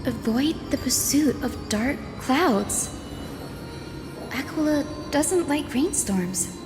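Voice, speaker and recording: High-voiced, calm young scholar. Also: female voice, takes it slowly. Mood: calm, curious. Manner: calm, explanatory. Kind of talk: game voice acting. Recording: reverberant, music behind.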